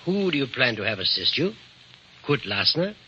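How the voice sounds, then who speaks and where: An actor playing a kindly older uncle and patron in a 1940s radio drama, with a husky voice and formal, measured speech. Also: male voice, mid-range voice, big pitch swings, bright, forceful tone, slight background noise. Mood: benevolent, measured. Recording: dull 1940s radio recording.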